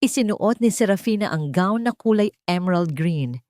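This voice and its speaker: A woman with a Filipino accent narrating a story in a measured, expressive, dramatic storytelling style. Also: mid-range voice, no room sound. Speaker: narrator of audio drama stories.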